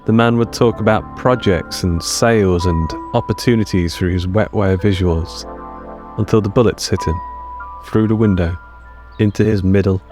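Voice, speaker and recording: A man narrating scripted audio fiction in a measured, deliberate storytelling manner with short dramatic phrases. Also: lowish voice, fairly even intonation. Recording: music under the narration.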